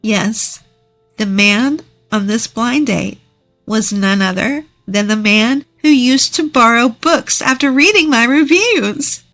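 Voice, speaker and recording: A woman narrates a first-person story. The reading is scripted, with soft music underneath. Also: on the high side, expressive, slow.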